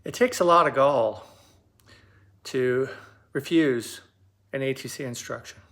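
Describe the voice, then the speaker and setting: A man giving explanatory commentary as a host, measured and pausing for emphasis. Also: mid-range voice, fairly bright tone.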